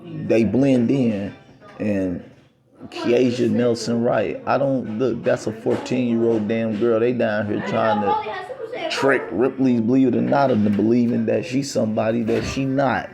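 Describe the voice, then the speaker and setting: A man's voice: mid-range voice, animated, a little slow, gravelly, music in the background. A man talking straight to camera in a rambling, insistent monologue, repeating himself for emphasis. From United States. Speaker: vlogger.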